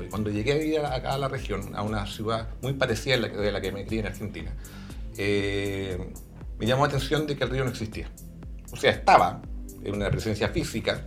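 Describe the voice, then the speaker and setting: A man, a guest on a talk show, speaking in a thoughtful, measured way with drawn-out pauses. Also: medium pitch. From Chile. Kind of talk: radio talk show conversation.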